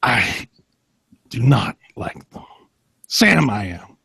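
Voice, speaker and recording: A man's voice: mid-range voice, very animated, slow pace, vocal fry, bright, forceful tone, slightly rough, no echo, full character voice. A man voicing an anguished boy who defiantly resists a vampire's spell, melodramatic and emphatic, in a comic stage reading.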